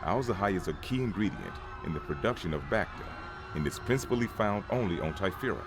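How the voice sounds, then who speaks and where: A man acting a grave Jedi elder telling the history of a war. The voice is very deep and swings expressively as he solemnly narrates, with music clearly behind him. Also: lively intonation, quick pace. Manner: solemn storytelling. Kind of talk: dramatic scripted narration.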